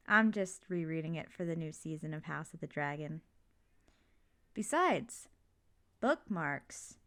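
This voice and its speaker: A woman playing a supportive, doting mother in an ASMR roleplay, talking in a gentle, reassuring, slightly rambling way. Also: fairly low pitch, heavily acted. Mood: caring, attentive. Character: supportive, doting, chatty mother comforting her child. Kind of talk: ASMR roleplay, intimate one-sided conversation.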